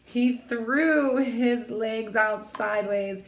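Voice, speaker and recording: A woman teacher narrating a children's storybook aloud in a measured, expressive storytelling manner. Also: on the high side, slight background noise. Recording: roomy echo.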